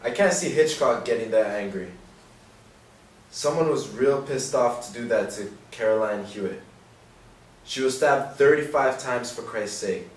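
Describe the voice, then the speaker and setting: An actor playing a persistent, matter-of-fact police detective, earnest and insistent, in a stage play reading. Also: male voice, medium pitch, unhurried, close to an everyday voice. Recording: roomy distant stage recording, faint noise.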